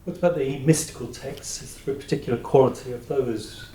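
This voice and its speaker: A man asks interview questions in a soft-edged, dark voice. The sound is strongly roomy and echoey. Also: medium pitch, fairly even intonation, fairly quick.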